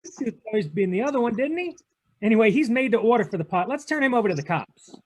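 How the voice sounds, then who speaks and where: An actor playing a tough, defiant, wisecracking private detective in a 1940s radio drama, with blunt, slangy delivery. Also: male voice, very high voice, expressive, quick pace, darkish tone. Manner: clipped, blunt, slangy hardboiled talk. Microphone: video call.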